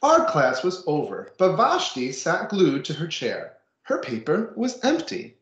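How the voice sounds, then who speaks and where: A man's voice: on the high side, wildly swooping pitch, a little slow, a bit bright, reverberant, highs cut off. A man reading a children's picture book aloud with casual asides, expressively and with dramatic emphasis. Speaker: storybook reader.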